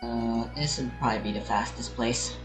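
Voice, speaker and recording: A man's voice: mid-range voice, normal speed. Musing young man gaming in a roomy space. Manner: musing, hesitant, self-correcting. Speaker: gamer recording a playthrough. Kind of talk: rambling casual game commentary. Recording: roomy sound over game music. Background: game music.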